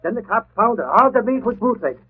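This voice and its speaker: An actor playing an excitable, slangy, fast-talking newspaper reporter in a 1940s radio drama. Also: male voice, extremely high pitch, slightly rough, full character voice. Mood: breathless, excited. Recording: muffled, scratchy 1940s radio broadcast.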